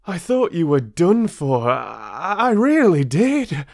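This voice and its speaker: Man putting on a high-pitched anxious young traveller. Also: very animated, slow pace, no room sound, clean studio sound, heavily acted. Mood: shaken, relieved. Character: younger male traveller, frightened, anxious, excitable.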